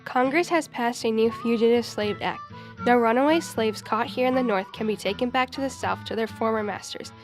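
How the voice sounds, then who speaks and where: A woman's voice: on the high side, fairly quick, soft background music, lightly acted. A female student reader playing a cautious helper of runaway slaves in a school radio drama. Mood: concerned.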